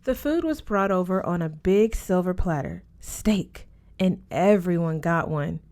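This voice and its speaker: A woman's voice: on the low side, animated, measured pace, darkish tone. A woman narrating a first-person story as an audiobook reader.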